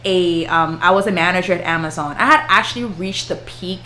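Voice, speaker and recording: A woman's voice: on the low side, a little fast, sharp tone, slight background noise, poor audio. A woman, chatting casually with viewers on a livestream and laughing often. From Ghana. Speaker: flight attendant and YouTuber.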